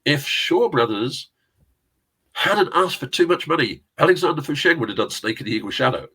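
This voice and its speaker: A man's voice: fairly high pitch, very animated, normal speed, bright, forceful tone, slightly rough, low-quality recording. A middle-aged man with a South London accent, telling rambling film-industry anecdotes in a casual chat, laughing often and swearing now and then. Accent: South London English. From England. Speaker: interview guest, casting director and actor.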